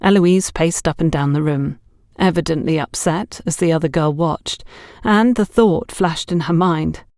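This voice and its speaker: Lowish-voiced woman narrating, very dry sound. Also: darkish tone, dry recording. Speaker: audiobook narrator. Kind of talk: scripted story narration.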